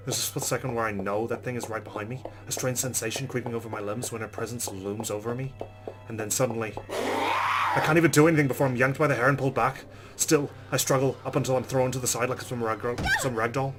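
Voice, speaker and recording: A man's voice: mid-range voice, steady tone, quick pace, music in the background. A man hosting a let's play, reading visual novel narration dramatically and reacting with exclamations.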